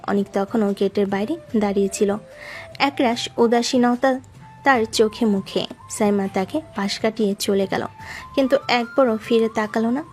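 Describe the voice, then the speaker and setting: A woman's voice: fairly high pitch, moderate pace, a little mellow. A woman narrating a scripted romantic story in a dramatic storytelling style. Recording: music behind the voice.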